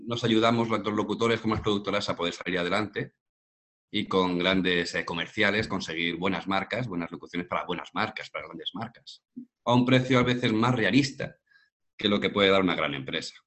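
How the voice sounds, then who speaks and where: A man around forty with a Castilian accent from Madrid speaks in a low-pitched voice. He is a voice-over professional giving conversational answers as an interview guest. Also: normal speed, a bit bright. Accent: Castilian Spanish from Madrid. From Madrid, Spain.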